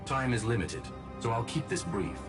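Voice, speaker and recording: A man's voice: mid-range voice, expressive, normal speed, lightly acted. A man voicing a composed, courtly robot genius for a video game, speaking formally and precisely. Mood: grave, measured. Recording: game music behind the voice.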